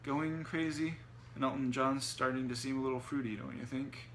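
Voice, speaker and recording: A man's voice: medium pitch, fast talker, a bit bright, a little hiss or hum, natural voice. A man voicing a sarcastic, impatient woman with a snappy manner in a story reading.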